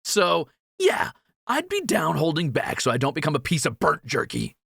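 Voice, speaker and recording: Man playing a lively, high-pitched cocky young thief. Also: creaky, slightly rough, dry recording, studio quality, heavily acted. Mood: wary, reluctant. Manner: joking, animated, quick to exclaim. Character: cocky, excitable, jittery male fantasy thief. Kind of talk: ASMR fantasy roleplay audio drama.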